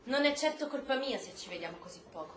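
A woman's voice: high-pitched, expressive, fast. An actress playing a principled, defiant wife and mother in a stage-style drama, pleading and accusing with emphasis. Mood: hurt, reproachful. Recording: roomy echo, faint noise.

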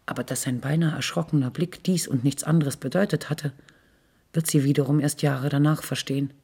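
A woman narrates scripted literary prose with restraint. Her voice is very husky and breathy, with a creaky edge and a soft, dark tone. The delivery is measured and sober. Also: deep voice, steady tone. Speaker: narrator in a radio literary feature.

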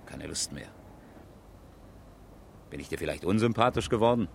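Low-voiced, self-assured rally driver. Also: male voice, expressive, moderate pace, gravelly, a little hiss or hum. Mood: challenging, indignant. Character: engineer and amateur rally driver, proud, insistent husband. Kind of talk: 1970s German radio crime drama acting.

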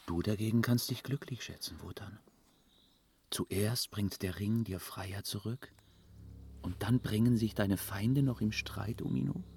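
Ein Schauspieler spielt in einem Fantasy-Hörspiel einen listigen, kühlen Berater mit einschmeichelnd gedämpfter Art. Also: male voice, fairly low pitch, measured pace, vocal fry, a little mellow, slightly rough, full character voice. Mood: coolly smug. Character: sly, cool-headed scheming advisor. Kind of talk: fantasy radio play acting.

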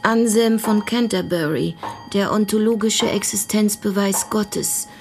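A woman's voice: mid-range voice, steady tone, a little slow, creaky, music in the background. A woman playing a wry, tart parish deaconess, a pointed and somewhat evasive witness in a radio play. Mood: evasive, earnest.

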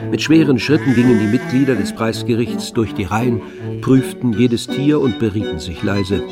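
An actor as the composed narrator of a literary radio drama, speaking in a measured, matter-of-fact way. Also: male voice, mid-range voice, music in the background, lightly acted.

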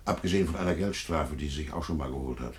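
An actor playing an officious police chief inspector in a radio play, sardonic, insinuating and dryly condescending. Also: male voice, extremely low pitch, very fast. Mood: stern, condescending. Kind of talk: German radio play acting.

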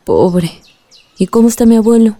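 An actress playing a polite, fragile young granddaughter in a radio drama. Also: female voice, fairly high pitch, normal speed, mellow, lightly acted. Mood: polite concern.

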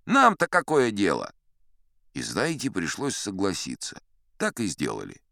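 Deep-voiced old captain spinning yarns. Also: male voice, huge pitch swings, unhurried, gravelly, bright, forceful tone, dry recording, studio quality, exaggerated voice. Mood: self-satisfied, leisurely. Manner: conversational, sly, leisurely, addressing a young listener. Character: old sea captain spinning tall tales, folksy, self-assured. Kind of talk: dramatized audiobook storytelling.

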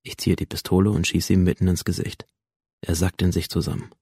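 Radio drama lead: cold, brooding schoolboy monologue, gravelly voice, close dry sound. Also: male voice, deep voice, monotone, a little fast, mellow, dry recording. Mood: cold, resolute. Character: cold, alienated teenage schoolboy narrating his violent inner world.

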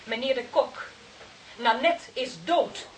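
An actress playing a prim, anxious and stubbornly convinced aunt in a crime drama, speaking earnestly, emphatically and haltingly. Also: female voice, high voice. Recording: hissy recording, faint noise.

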